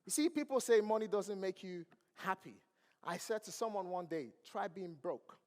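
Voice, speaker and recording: A man's voice: sits high, animated, moderate pace. Nigerian man preaching from a big stage. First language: not English. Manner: preachy, asks the crowd questions. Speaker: motivational speaker. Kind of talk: motivational stage speech. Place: large event hall. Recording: long hall reverb.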